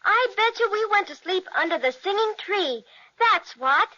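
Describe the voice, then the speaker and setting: Little girl: kind, wide-eyed, extremely high voice, old radio serial, dull recording. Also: expressive, moderate pace, sharp tone, exaggerated voice. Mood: earnest wonder. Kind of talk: old-time radio children's serial acting.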